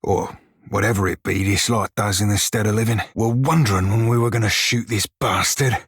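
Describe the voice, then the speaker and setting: Roguish shiphunter, game character, rough husky voice, salty slang, cocky, dry studio sound. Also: male voice, fairly low pitch, fairly even intonation, a little fast, dry recording. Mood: sly, teasing. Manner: salty archaic sailor slang, cocky and teasing. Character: swaggering, foul-mouthed blue-furred male pirate shiphunter, roguish. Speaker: video game companion character. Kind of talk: video game character dialogue and barks.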